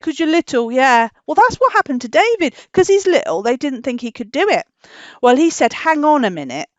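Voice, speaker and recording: Female children's storyteller, higher-pitched, informal and animated, explaining simply; close, dry, slightly muffled recording. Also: brisk, no echo. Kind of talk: informal storytelling to a puppet dog.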